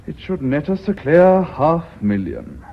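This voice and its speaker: An actor playing a smug, calculating business aide in British radio drama, precise and smugly polite. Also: male voice, on the high side, very animated, measured pace, gravelly, heavily acted. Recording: slightly dull radio drama recording.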